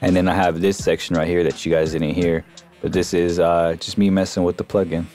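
A man giving a casual, informal software tutorial as a voice-over on a close mic. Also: low voice, little pitch movement, fairly quick, music in the background. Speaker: music production tutorial host.

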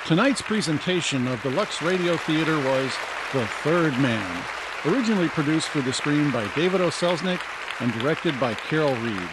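A man's voice: fairly high pitch, animated, moderate pace, natural voice. A man playing a formal, upbeat 1950s network radio announcer with a showmanly delivery. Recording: loud crowd applause and noise behind.

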